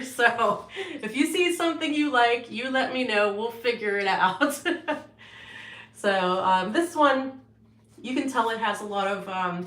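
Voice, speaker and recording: A woman's voice: mid-range voice, sharp tone, roomy sound. A woman artist casually showing her paintings on a livestream, rambling with many fillers and restarts, in her home art studio.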